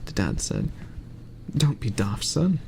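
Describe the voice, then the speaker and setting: A man reading aloud in a soft ASMR bedtime style, hushed and often slipping into a whisper. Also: fairly low pitch, huge pitch swings, slow, creaky, a little hiss or hum.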